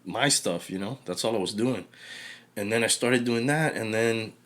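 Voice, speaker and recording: Guest man, thirties, low easygoing voice, hesitant, filler-heavy. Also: moderate pace, a bit bright. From Cuba, raised in Miami. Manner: laid-back, hesitant, lots of fillers. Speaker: podcast guest, small business owner. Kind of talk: casual conversation.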